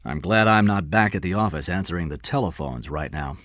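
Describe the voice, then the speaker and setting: A man voicing a tough, blunt, protective police detective in an audiobook story, forceful and scornful in manner. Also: deep voice, a little fast, no room sound, clean studio sound.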